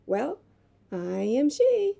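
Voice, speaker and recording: A very soft, dark-toned, high voice for a determined woman reporter, played by an actress in an audio drama. She is guarded and businesslike, holding her own. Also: wildly swooping pitch, drawn-out words, gravelly, heavily acted. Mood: businesslike, firm. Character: Hazel Winters, guarded, determined young woman magazine reporter.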